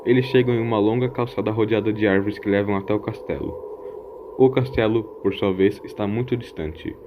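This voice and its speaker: A man's voice: lowish voice, steady tone, a little fast, narrow, tinny audio, noisy background. A man reading scripted narration for an audio drama in a storytelling style. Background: faint music.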